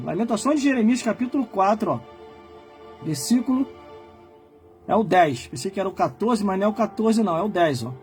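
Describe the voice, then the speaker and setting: Brazilian pastor guy in his 40s, really high voice, yells his sermon then drops to mumbling, soft music behind. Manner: swings from low muttering asides to high shouted preaching. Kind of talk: fiery Pentecostal-style sermon.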